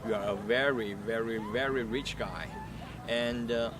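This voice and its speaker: A young man whose first language is Chinese, explaining his point in a relaxed way. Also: mid-range voice, a little slow, distant mic. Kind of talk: prepared answers for a language project. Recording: street noise behind.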